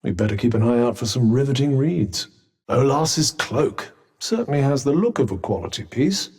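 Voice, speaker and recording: A sentient magical cuff from a video game, a male companion with a British accent and an arch, haughty wit. His mid-pitched delivery is articulate and dry, yet it rises and dips freely as he delivers sardonic understatement. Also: lively intonation, normal speed, darkish tone, breathy edge. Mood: dry, wry. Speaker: voice actor.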